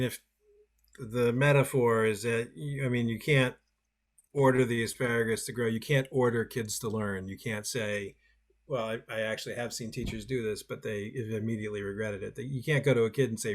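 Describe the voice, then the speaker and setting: A man leads an online workshop over a video call at a mid pitch. He talks loosely and conversationally, with a self-deprecating touch. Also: steady tone, normal speed. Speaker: workshop host. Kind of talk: webinar presentation.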